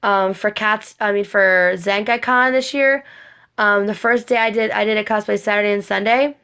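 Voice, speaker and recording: A woman talks through a makeup tutorial in a casual, rambling style, repeating and correcting herself as she goes. Her voice sits at a middle pitch with a noticeable creak. A lingering cold leaves it a little hoarse and scratchy. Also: steady tone, moderate pace, pushed and bright. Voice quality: slightly raspy, scratchy. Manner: rambling, repetitive, self-correcting. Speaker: cosplay makeup vlogger.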